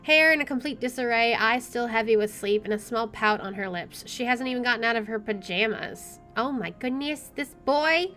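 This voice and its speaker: A woman's voice: a little high, normal speed, hardly any pauses, sharp tone. A woman let's player reading a visual novel aloud expressively with chatty asides, game music playing behind her.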